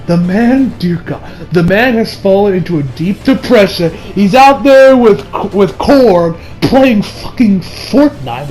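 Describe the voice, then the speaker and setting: Young male video reviewer, high-pitched, excitable and sweary, rambling casually over background noise. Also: poor audio. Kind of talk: casual movie review chat over gameplay.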